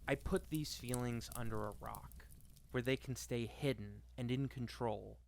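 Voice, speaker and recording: A man performing a dramatic monologue reading in a deliberate, repeating cadence with weighted pauses. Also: mid-range voice, creaky, a bit bright. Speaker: monologue reader.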